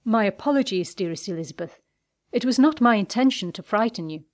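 A woman narrator acts out a proud young gentleman of a period romance novel. He speaks in formal, declamatory phrases, ardent and intense, utterly sure of himself. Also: mid-range voice, animated, fairly quick, lightly acted. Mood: composed.

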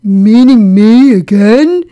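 A woman voicing a gloomy, weary old donkey from a children's storybook, ponderous and mournful, with a sardonic, deadpan manner. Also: on the high side, takes it slowly, dull audio. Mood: doubtful. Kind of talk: children's storybook character reading.

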